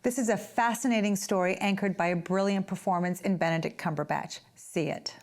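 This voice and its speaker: A woman's voice: medium pitch, steady tone, brisk, darkish tone. A woman on a film review panel, speaking conversationally in a considered, emphatic way.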